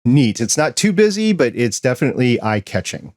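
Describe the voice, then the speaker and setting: A man's voice: mid-range voice, sing-song, brisk, darkish tone, no echo, studio quality. A man hosting a podcast interview, asking friendly, curious questions in a casual chat. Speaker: podcast host.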